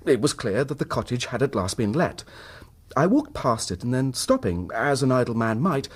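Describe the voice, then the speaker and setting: A man's voice: mid-range voice, very animated, normal speed, breathy edge. An actor playing an earnest, troubled middle-class English husband telling his story in formal period language for a radio drama. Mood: earnest, reflective.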